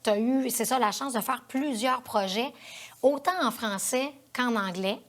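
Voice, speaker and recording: A woman's voice: fairly high pitch, a little slow. A woman with a Quebec French accent interviewing a guest as host of a TV show, in a conversational studio style.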